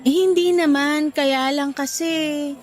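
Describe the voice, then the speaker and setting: A woman plays a young girlfriend in a radio-style drama. Her voice is strikingly high and girlish, with a coy, guarded edge. Soft music sits behind it. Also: steady tone, slow. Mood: hesitant, uneasy. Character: bubbly young girlfriend, hearty eater, shy and guarded.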